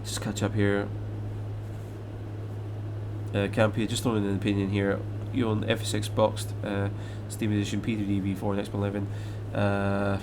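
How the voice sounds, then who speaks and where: A man's voice: fairly low pitch, completely flat delivery, moderate pace, noisy background. A young Scottish man with a slight English mix to his accent, chatting casually on a live stream and reading out viewer questions, rambling with lots of fillers and restarts. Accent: Scottish, mixed with English. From Scotland. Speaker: flight sim live streamer.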